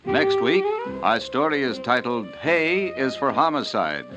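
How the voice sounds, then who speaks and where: Animated old-time American radio announcer, male. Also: mid-range voice, measured pace. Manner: formal, polished announcer delivery. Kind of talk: 1950s network radio announcing. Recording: muffled 1950s radio recording over music.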